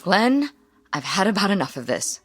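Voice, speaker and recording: An actress playing an exasperated wife in a radio drama, brisk and dismissive but placating her husband. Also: medium pitch, big pitch swings, soft background music.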